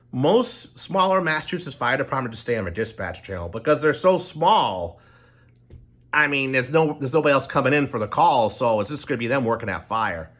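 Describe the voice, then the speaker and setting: Brief: middle-aged man, mid-pitched voice, loose unscripted monologue with frequent fillers and restarts. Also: bright, forceful tone. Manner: rambling, loose, full of ums and restarts. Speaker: channel host. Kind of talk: casual explanatory monologue.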